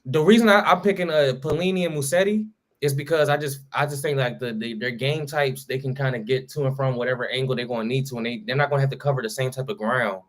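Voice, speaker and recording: A man's voice: medium pitch, quick pace, few pauses. Slangy man riffing on sports. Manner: loose, slangy, lots of restarts and fillers. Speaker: podcast co-host. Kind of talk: casual sports talk.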